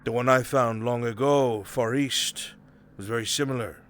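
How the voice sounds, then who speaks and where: A man playing a worldly, sly fantasy adventurer in live roleplay, with deliberate, coarse-mouthed delivery. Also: mid-range voice, fairly bright tone, natural voice. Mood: grave storytelling. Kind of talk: live improvised fantasy roleplay in virtual reality. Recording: background music behind the voice.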